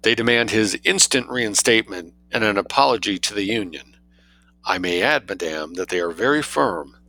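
A man voicing a grave, formal butler who speaks slowly and deferentially. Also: fairly low pitch, expressive, sharp tone, no echo, faint music behind, rough recording. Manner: slow, solemn, deferential.

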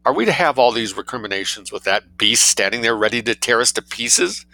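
A man voicing an excitable, indignant society woman in a short story, wailing her complaints. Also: medium pitch, a little fast, dry recording, poor audio. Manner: wailing, screaming.

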